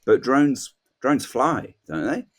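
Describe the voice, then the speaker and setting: A man's voice: mid-range voice, big pitch swings, slow. A man chatting casually as a guest on a comedy podcast, telling whimsical, rambling anecdotes and acting out little quotes. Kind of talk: casual comic chat.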